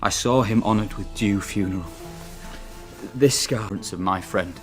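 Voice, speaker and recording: Low-voiced, solemn young soldier played by an actor. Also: male voice, soft background music, lightly acted. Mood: solemn, mournful. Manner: measured, formal storytelling. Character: Horatio, loyal, solemn young Spanish soldier. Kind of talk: classical radio drama verse acting.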